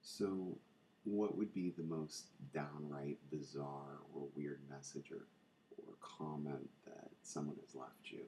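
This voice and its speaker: Deep, gravelly soft-spoken man. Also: fairly even intonation, takes it slowly, a little hiss or hum, rough recording. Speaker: interviewer, ASMR channel host. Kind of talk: soft-spoken interview questions.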